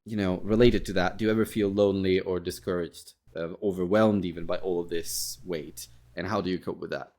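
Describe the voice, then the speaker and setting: A man hosting a casual podcast interview, speaking in a hesitant, halting way. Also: deep voice, fairly even intonation, a little mellow. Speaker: podcast host.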